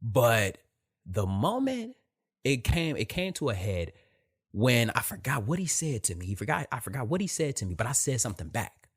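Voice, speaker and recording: A young man from Detroit with a soft voice, hosting a casual podcast monologue, repeating phrases and swearing as he tells a story. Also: medium pitch, sing-song, moderate pace, breathy edge. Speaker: podcast host.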